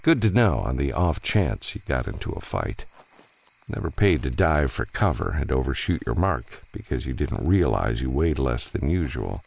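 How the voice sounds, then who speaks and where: A man narrating a science fiction novel as an audiobook, reading scripted prose in a gravelly voice. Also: extremely low pitch, sing-song, normal speed, a little mellow.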